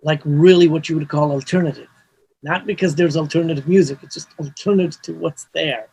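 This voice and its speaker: Man, gravelly voice, slightly husky, rambling interview guest. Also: fairly high pitch, fairly even intonation, fairly quick, a little mellow, low-quality recording. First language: Hebrew. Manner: rambling, restarts mid-phrase, many "you know" fillers. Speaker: mastering engineer guest. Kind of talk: casual interview talk.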